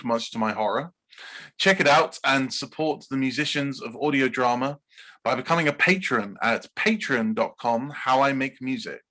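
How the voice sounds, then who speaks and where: A man's voice: medium pitch, fairly bright tone, poor audio. A man from Bedfordshire with an English accent, reading scripted podcast narration as a guest composer. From Bedfordshire, England.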